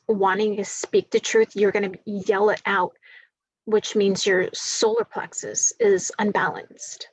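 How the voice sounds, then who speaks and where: Woman, groggy voice, spiritual forecast reading, unhurried, rambling with fillers, dull dry recording. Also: mid-range voice, no room sound. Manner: slow, meandering, many fillers. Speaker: spiritual reader.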